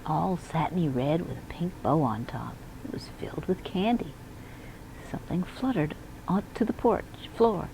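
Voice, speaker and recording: Female storybook reader, animated and lively, slightly low-pitched with some creak, faint noise behind. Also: low-quality recording. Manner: expressive, acts out dialogue and emphasis. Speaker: school media specialist reading aloud. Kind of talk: children's storybook read-aloud.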